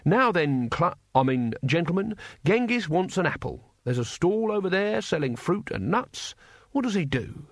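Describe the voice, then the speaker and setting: A man's voice: sits high, very animated, normal speed, dry recording, studio quality, pitched above the performer's own voice, heavily acted. A man voicing a fussy, patient schoolmaster in an audiobook, precise and coaxing as he instructs. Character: patient, fussy male schoolmaster civilizing unruly old warriors. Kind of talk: audiobook character acting.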